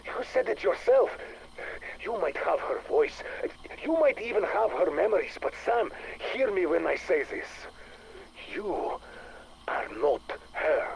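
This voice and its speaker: Bitter man, wildly expressive. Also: high voice, a little slow, gravelly, heavily acted, poor audio. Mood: anguished, angry. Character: bitter, guarded man confronting an AI. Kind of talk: game audio-log drama acting.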